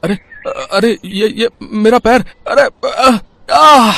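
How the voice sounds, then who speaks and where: An actor playing a young husband caught on the rail tracks, speaking in a strained, pleading way in a cartoon story. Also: male voice, extremely high pitch, very slow, vocal fry, sharp tone, dry recording, heavily acted. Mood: panicked. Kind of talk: Hindi cartoon voice acting.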